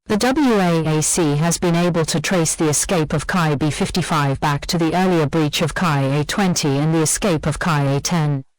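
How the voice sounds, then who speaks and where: Female emergency alert announcer, acted, low-pitched and official, measured scripted delivery for an audio drama, very dry recording. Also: no room sound, close to an everyday voice, poor audio. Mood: grave, official.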